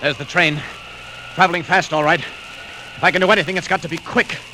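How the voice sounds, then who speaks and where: An actor playing a confident, resolute superhero who thinks aloud in clipped phrases in an old radio serial. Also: male voice, a little high, fairly even intonation, pushed and bright. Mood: intent, resolute. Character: heroic, resolute male superhero, brisk and confident. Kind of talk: 1940s radio serial acting. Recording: very noisy old radio recording, rushing sounds.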